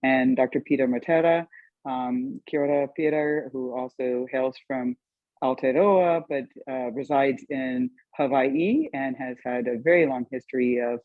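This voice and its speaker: A man's voice: mid-range voice, dry recording. A man introduces the guests of a panel, stumbling through frequent fillers and restarts. His voice comes over a thin, phone-like video call line with a dry, close sound. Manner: halting, many fillers. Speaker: panel moderator. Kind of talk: hesitant spoken introduction.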